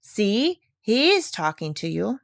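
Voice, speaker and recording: A woman voicing a proud, bossy female parrot in a high voice, speaking emphatically as if teaching. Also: sing-song, slow pace, vocal fry, no echo, clean studio sound, exaggerated voice. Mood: instructive. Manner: emphatic, instructive.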